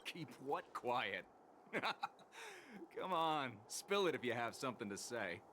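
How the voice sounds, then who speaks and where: An actor voicing a chatty, breezy veteran pilot for hire in a video game. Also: male voice, mid-range voice, very animated, takes it slowly, pushed and bright, a little hiss or hum, full character voice. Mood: teasing, incredulous. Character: chatty male star skiff pilot, long in the trade. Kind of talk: video game character acting.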